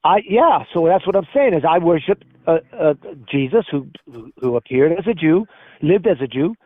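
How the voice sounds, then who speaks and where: High-pitched opinionated man, muffled audio. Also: big pitch swings, normal speed, no room sound. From Spain. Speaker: caller. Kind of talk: opinionated political conversation. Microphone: phone or voice call.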